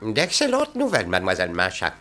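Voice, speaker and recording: A man voicing a formal, courteous gentleman in a cartoon drama. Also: lowish voice, wildly swooping pitch, quick pace, full character voice. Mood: formal, pleased. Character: formal gentleman caller bearing news, in a cartoon. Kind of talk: animated drama dubbing.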